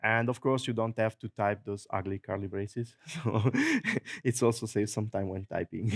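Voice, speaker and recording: A man with an Italian accent giving a technical conference talk, joking often, chuckling at his own jokes and filling pauses with many uhs. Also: on the low side, lively intonation. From Italy. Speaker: conference presenter. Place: conference hall.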